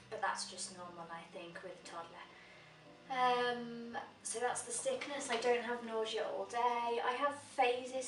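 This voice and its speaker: A young woman with a slightly croaky voice talks casually and matter-of-factly, filling pauses with "um". She is recorded by a camera mic a little way off in an echoey room, with a faint hum of ambience behind her. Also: medium pitch, distant mic. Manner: chatty, frequent "um" fillers, matter-of-fact. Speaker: vlogger. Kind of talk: casual pregnancy diary vlog.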